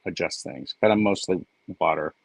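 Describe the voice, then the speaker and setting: A man's voice: deep voice, normal speed, gravelly, no echo. A man joining a live tarot stream as a guest reader, talking in a hesitant, reflective way with lots of ums. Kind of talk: casual live-stream chat.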